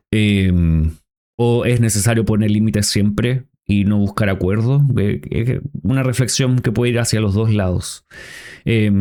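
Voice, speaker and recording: A man hosting a podcast, talking in a calm, reflective way with many hesitant pauses. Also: on the low side. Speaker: podcast host. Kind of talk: casual podcast conversation.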